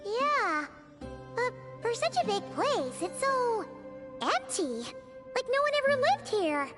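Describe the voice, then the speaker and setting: A tiny fairy-like companion in a video game, very high-pitched and full of bounce, her voice leaping up and down. She is cheeky and excitable and talks about herself in the third person. Game music plays clearly behind her. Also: takes it slowly, full character voice. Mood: chirpy. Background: game soundtrack music.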